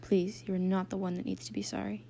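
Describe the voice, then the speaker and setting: A woman's voice: medium pitch, level tone, fast, gravelly, warm tone, slight background noise, lightly acted. A woman playing a desperate fourteen-year-old girl in a story, dramatic, emotional and pleading. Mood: apologetic.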